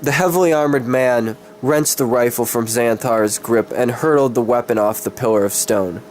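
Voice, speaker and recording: A man's voice: mid-range voice, moderate pace, slight background noise. A man who is sick gives a dramatic reading of fantasy as an amateur, tripping over his words and swearing in casual asides. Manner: stumbling, tongue-tied, sweary. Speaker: amateur reader of his own fantasy story.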